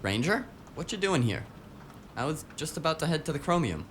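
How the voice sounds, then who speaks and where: An actor playing a grumbling tech office worker in an audio drama, casual and slangy with a melodramatic streak. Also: male voice, a little high, sing-song, brisk, a bit bright, slight background noise. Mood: reluctant.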